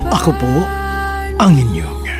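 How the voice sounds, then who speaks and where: A man's voice: mid-range voice, huge pitch swings, takes it slowly, music in the background. A man with a Filipino accent hosting a radio drama with scripted, sentimental narration. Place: radio studio, music behind.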